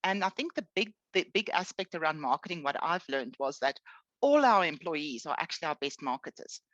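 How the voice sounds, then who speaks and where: A middle-aged South African woman, Afrikaans her first language, chatting freely and warmly as a podcast guest. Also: low-pitched, sing-song, a little fast, no room sound, highs cut off. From Eastern Cape, South Africa. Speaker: guest, HR executive. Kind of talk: podcast interview.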